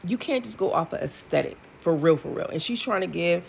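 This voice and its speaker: A woman's voice: lowish voice, animated, a little fast, gravelly, darkish tone, slight background noise. A woman in her thirties giving blunt, sassy music commentary for her video channel, with lots of ums and asides. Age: 30s. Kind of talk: casual opinion commentary.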